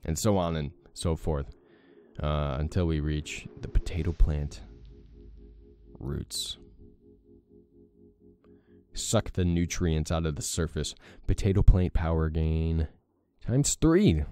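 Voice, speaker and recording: Deep-voiced young man rambling over gameplay. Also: takes it slowly, long pauses, warm tone, soft background music. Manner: rambling, many fillers, self-deprecating. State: morning voice with a crack. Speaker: let's play host. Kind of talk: casual gameplay commentary.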